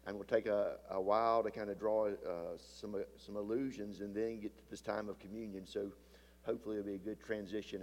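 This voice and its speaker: A male pastor preaching a sermon with a stuffy, sinus-deepened voice. He often swells louder and slows down to stress a point. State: stuffy from a sinus issue, voice deeper than usual. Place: church sanctuary. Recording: long hall reverb from a church sanctuary.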